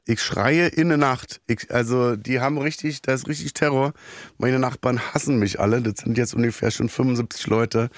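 A hoarse man, hosting a podcast in a rambling, self-mocking comic monologue full of halting fillers. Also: mid-range voice, no room sound, studio quality. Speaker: podcast host.

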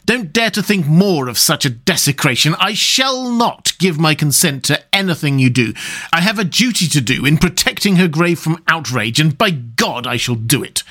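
A man's voice: a little high, lively intonation, moderate pace, sharp tone, pitched above the performer's own voice. A man voicing a passionate, grieving young lord in an audiobook, impassioned and overwrought. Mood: outraged. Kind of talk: dramatic audiobook character reading.

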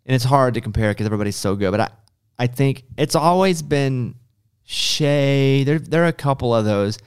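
A man's voice: medium pitch, normal speed, clean tone. A man hosting a podcast, chatting casually and acting out little imagined quotes as he talks. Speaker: podcast host. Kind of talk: casual interview chat.

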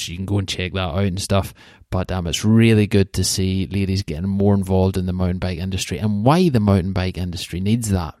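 A man's voice: low-pitched, a little mellow, no room sound. Man hosting a casual podcast, dry close sound. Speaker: podcast host. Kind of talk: casual podcast interview chat.

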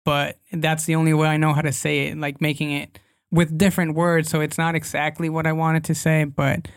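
A young man with a slightly higher voice and a gravelly edge talks casually as a guest. English is not his first language, so he pauses and restarts as he looks for words. The sound is dry and close. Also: monotone, no room sound. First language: Spanish. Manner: hesitant, searching for words, frequent restarts. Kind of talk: casual interview chat.

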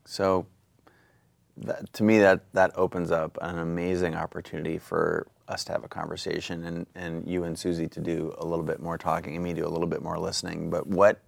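A man's voice: low voice, level tone, a little fast, vocal fry, no room sound. A man hosting a podcast interview, asking thoughtful, searching questions. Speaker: podcast host.

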